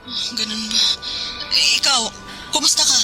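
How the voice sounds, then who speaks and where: A woman's voice: a little high, moderate pace, loud music behind the voice, close to an everyday voice, rough recording. A woman playing a worried wife on the phone. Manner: anxious, scolding, protective. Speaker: wife calling from work. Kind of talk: radio drama acting.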